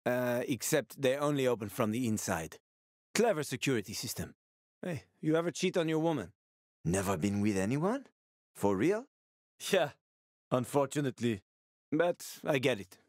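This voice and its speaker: Guy voicing a wisecracking survivor dude for a game, medium pitch, sweary and teasing, no room sound. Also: big pitch swings, moderate pace, pauses often, darkish tone, no echo, clean studio sound, lightly acted. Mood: wry, friendly. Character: roguish, wisecracking male survivor guiding a younger newcomer. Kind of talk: video game voice acting.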